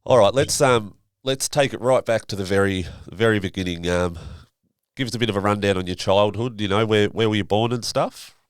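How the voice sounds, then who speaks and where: A man hosting a podcast, asking relaxed, informal questions. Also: lowish voice, a little fast, clean tone, no echo, studio quality. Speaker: podcast host. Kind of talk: casual interview hosting.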